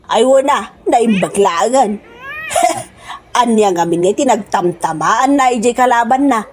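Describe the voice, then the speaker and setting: A woman's voice: fairly high pitch, expressive, a little slow, husky. A woman playing a gossiping, disapproving village woman in a comedy radio drama. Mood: amused. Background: background music.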